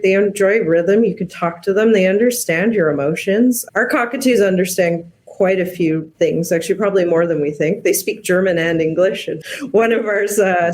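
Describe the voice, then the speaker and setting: A woman's voice: medium pitch, darkish tone. Casual, emphatic woman researcher chatting. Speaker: researcher, interview panellist. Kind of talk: casual explanatory chat.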